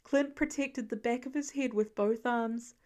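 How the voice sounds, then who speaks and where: High-voiced woman narrating a story. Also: fairly even intonation, measured pace, a little mellow. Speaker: fan fiction narrator. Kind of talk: expressive scripted audiobook narration.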